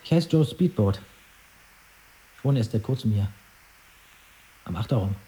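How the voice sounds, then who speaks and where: A man's voice: on the low side, animated, measured pace, warm tone. An actor playing a fearless, frank newcomer boy in a radio drama, talking directly and casually in short sentences. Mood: frank, curious. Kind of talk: naturalistic radio drama acting. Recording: roomy, echoing radio play scene.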